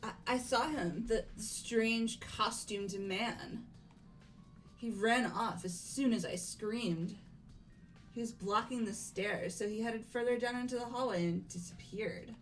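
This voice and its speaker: A theatrical, frightened teenage girl from a video game, acted by a woman. Her voice is fairly high and she takes her time over the words. Light music sits underneath. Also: slow pace, rough recording. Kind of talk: dramatic game voice acting.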